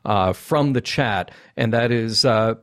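A man's voice: on the low side, slow pace. Conversational man hosting a casual fan show. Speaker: talk show host. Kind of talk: casual fan discussion and commentary.

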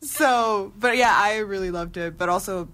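A woman's voice: medium pitch, normal speed, a bit bright. A young woman from Ethiopia, a student union coordinator, chatting in a rambling, laughing way on campus radio. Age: young adult. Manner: rambling, laughing asides, many "like"s. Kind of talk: casual radio chat.

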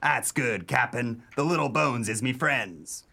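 A man playing a vengeful, mocking pirate in a comic radio play, speaking in rough, ungrammatical pirate dialect. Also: medium pitch, expressive, slow pace, sharp tone, heavily acted. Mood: gloating. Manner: rustic pirate dialect, dropped grammar.

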